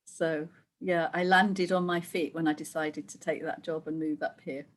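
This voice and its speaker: A woman's voice: deep voice, steady tone, normal speed. A middle-aged woman from Wales, a guest who chats casually, laughs often and acts out the voices she quotes. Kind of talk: casual conversational interview.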